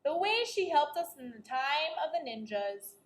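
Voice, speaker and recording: A woman's voice: high voice, very animated, normal speed, echoey room. A woman voicing a caring, curious and bold young girl in a storybook, full of questions and tender concern. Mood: eager.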